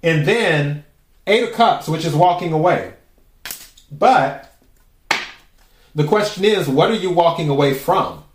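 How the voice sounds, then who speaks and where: A man with a raspy voice hosting a podcast, giving a casual, chatty tarot reading and musing aloud with drawn-out pauses. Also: a little high, animated. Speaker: tarot reader and podcast host. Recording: roomy echo.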